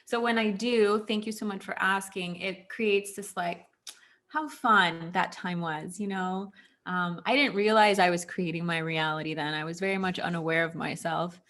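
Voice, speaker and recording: A woman's voice: fairly low pitch, fairly even intonation, normal speed, fairly bright tone. A woman in her thirties from Texas telling personal stories casually as an interview guest, chatty, with plenty of "like" and "you know". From Houston, Texas. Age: 30s. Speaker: interview guest, former actress. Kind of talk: casual conversational storytelling.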